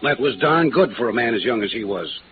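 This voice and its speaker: A man playing a grave, troubled older American insurance executive, set in his ways, in a 1950s radio drama, with measured, halting delivery. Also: mid-range voice, lively intonation, natural voice. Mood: proud, sorrowful. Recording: noisy, phone-like old radio recording.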